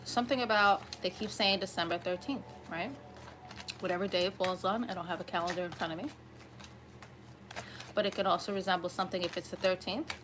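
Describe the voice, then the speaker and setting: A woman with a slightly raspy voice giving an improvised tarot reading to viewers, conversational and often checking in with listeners. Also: fairly low pitch, steady tone, fairly quick, pauses often, background noise. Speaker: tarot reader hosting an online collective reading.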